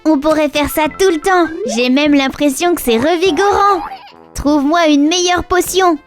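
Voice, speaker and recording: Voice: sits high, moderate pace, lightly acted. A performer voicing a cocky, taunting little germ creature in a rhyming children's cartoon. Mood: mocking. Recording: music behind the voice.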